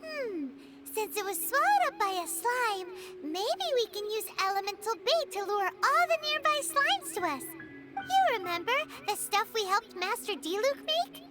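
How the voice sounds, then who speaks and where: A woman's voice: very high-pitched, sing-song, moderate pace. A woman voicing a tiny floating female fairy companion who talks about herself in the third person, chirpy and a little greedy, in video game dialogue. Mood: eager. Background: game music.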